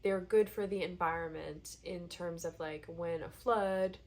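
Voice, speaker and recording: A woman's voice: fairly low pitch, steady tone, unhurried, darkish tone. A woman in her thirties with a cold, sounding nasal and congested, chatting casually and thinking aloud in a meandering monologue. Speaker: vlogger.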